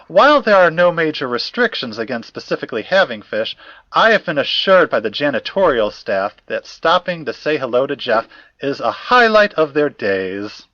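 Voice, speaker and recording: Guy acting a stuffy polite professor type for an audio drama, very animated, kinda dull recording. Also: medium pitch, normal speed, no echo. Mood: eager, courteous. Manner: precise, wordy, old-fashioned politeness. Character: formal, fussy, courteous male academic investigator.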